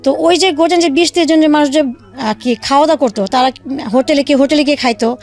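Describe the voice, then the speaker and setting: A young woman from Bangladesh, telling her life story to an interviewer in a halting way with many fillers. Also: sits high, fairly even intonation, a little mellow, faint music behind. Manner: halting, many fillers and restarts, re-enacts dialogue. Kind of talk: casual spoken storytelling.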